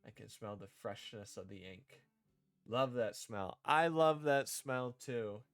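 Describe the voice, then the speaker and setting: Male game-dev streamer, unhurried and halting, thinking aloud; dry close recording, soft guitar music underneath. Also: medium pitch, animated, clean tone, dry recording. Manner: halting, thinking out loud, repeating and rewording lines. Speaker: streamer developing own visual novel. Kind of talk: casual livestream, reading and drafting script aloud.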